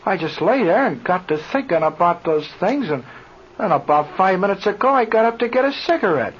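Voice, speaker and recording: An actor playing an indignant, folksy airfield mechanic in a 1930s radio serial, full of colloquial slang and comic exasperation. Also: male voice, high-pitched, big pitch swings, fairly quick. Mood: realizing, explaining. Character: folksy, indignant, slow-moving male chief airfield mechanic. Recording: muffled, noisy old radio recording.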